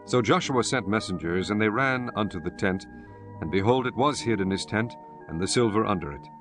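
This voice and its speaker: A man reading scripture as the narrator of a dramatized audiobook, in a solemn, measured manner. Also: fairly low pitch, expressive. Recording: music behind the voice.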